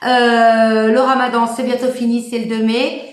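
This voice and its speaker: High-voiced woman chatting on a live stream. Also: flat delivery, unhurried, echoey room. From France. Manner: warm, rambling, many fillers and restarts. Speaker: medium hosting a live stream. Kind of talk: casual live-stream chat.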